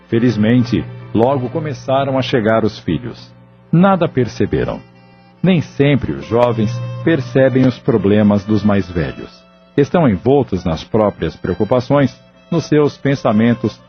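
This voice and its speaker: A man narrating an audio novel in a steady, measured storytelling manner. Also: fairly low pitch. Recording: dull lo-fi audio, faint music.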